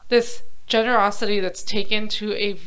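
A woman co-hosting a casual podcast, chatty and quick to laugh, often trailing off mid-sentence, recorded at home on lo-fi gear. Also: mid-range voice, flat delivery, smooth. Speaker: podcast co-host. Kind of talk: casual chat.

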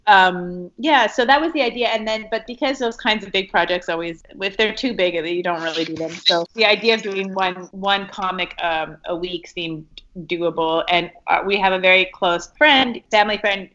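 A woman's voice: lowish voice, moderate pace, fairly bright tone. Chatty woman on muffled lo-fi audio. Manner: chatty, hesitant with ums and restarts. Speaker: comedian guest. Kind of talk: casual storytelling chat.

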